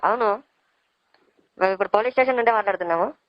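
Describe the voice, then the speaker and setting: A woman's voice: medium pitch, very fast, lightly acted. A woman playing a stern, threatening police officer on a prank phone call, questioning and scolding bossily in a Rayalaseema Telugu accent. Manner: bossy, interrogating. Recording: dull, lo-fi phone call recording.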